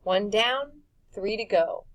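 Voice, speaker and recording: A woman voicing a brave young boy hero in a storybook, calm, firm and challenging. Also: medium pitch, slow, muffled recording.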